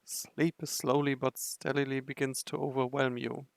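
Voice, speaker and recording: A man with a creaky voice doing game commentary and reading story narration aloud. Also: medium pitch, little pitch movement, normal speed, no room sound. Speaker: gamer narrating a playthrough. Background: faint music.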